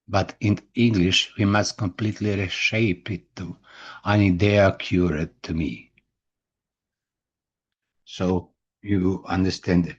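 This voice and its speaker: A middle-aged man with a Croatian accent, a native Croatian speaker, talking to camera about his writing in halting, broken English full of restarts and repeated words. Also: low voice, breathy edge, highs cut off. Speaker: indie author and book vlogger. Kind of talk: nervous personal talk to camera.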